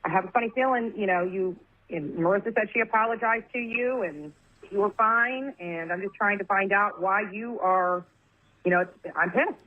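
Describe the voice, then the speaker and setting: A tinny phone line carries a woman's voice at a medium pitch. She is confrontational and insistent, pressing accusatory questions as if leaving a message. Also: normal speed.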